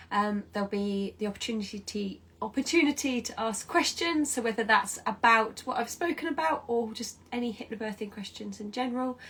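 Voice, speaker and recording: A woman's voice: medium pitch, a bit bright, husky. A woman with a British accent, stuffy and nasal from a cold, giving a chatty hypnobirthing lesson with plenty of ums. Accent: British English. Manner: chatty, encouraging, with many ums. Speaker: hypnobirthing educator. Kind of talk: informal online teaching session.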